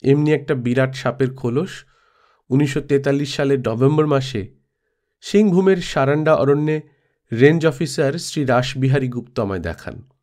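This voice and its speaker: A man's voice: mid-range voice, normal speed, darkish tone. A man narrating a literary travel tale as a scripted audiobook reading. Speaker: storyteller reading a classic audiobook.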